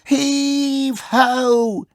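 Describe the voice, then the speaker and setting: A narrator putting on a plucky, high little toy. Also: flat delivery, extremely slow, no echo, clean studio sound, exaggerated voice. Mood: eager, determined. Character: plucky, friendly little male cuddly toy.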